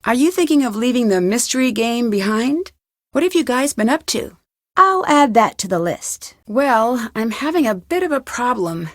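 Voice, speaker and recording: A young American woman voicing a polite, inquisitive amateur detective in a scripted adventure game, composed and clearly spoken. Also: a little high, sing-song, normal speed, no room sound, studio quality. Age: young adult.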